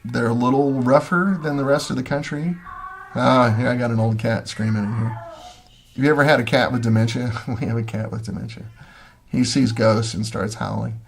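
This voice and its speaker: A man's voice: medium pitch, steady tone, moderate pace, vocal fry, slight background noise. A middle-aged man from Missouri, hosting a casual livestream from home and answering viewer comments in a rambling way, with lots of ums and restarts. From Missouri, USA. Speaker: livestream host.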